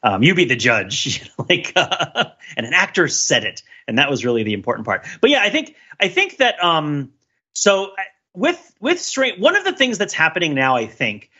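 A man's voice: a little high, wildly swooping pitch, normal speed, pushed and bright, slightly rough, highs cut off. A man speaking as a podcast co-host in a casual pop-culture discussion, rambling and jokey, often repeating his phrases.

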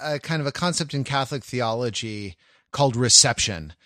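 A man with a medium-pitched voice talks as a podcast co-host in a very dry acoustic. His style is casual but intellectual. He proceeds haltingly, searching for the precise word. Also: sharp tone, no room sound.

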